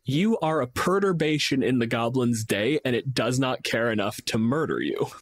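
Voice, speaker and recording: A man's voice: mid-range voice, animated, moderate pace. A man co-hosting a casual gaming podcast as a game master, explaining his reasoning with acted-out examples. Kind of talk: casual tabletop gaming discussion.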